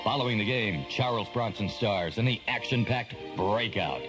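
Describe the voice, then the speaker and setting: A man's voice: fairly low pitch, expressive, moderate pace. A man reading a scripted television promo as a radio voice-over announcer. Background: music.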